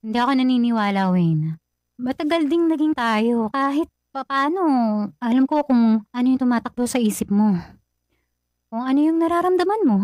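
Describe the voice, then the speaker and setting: A woman's voice: high-pitched, animated, moderate pace, a little mellow, dry recording. An actress playing a pregnant young bride-to-be who questions her ex-boyfriend earnestly in a radio drama. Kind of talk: Filipino radio drama acting.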